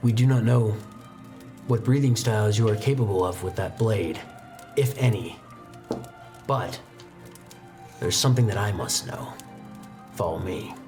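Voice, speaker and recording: Man voicing old male sword master, stern, solemn, measured delivery, tabletop game character, background music. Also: on the low side, a little mellow, lightly acted. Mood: commanding. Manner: grave, measured, instructive.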